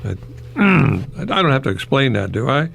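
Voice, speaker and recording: An older American man, a retired professor, giving a folksy, rambling radio monologue in a gravelly, husky voice with pauses mid-phrase. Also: mid-range voice, sing-song, a bit bright, a little hiss or hum. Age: older, retired, 60s or above. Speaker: retired English professor hosting a radio program.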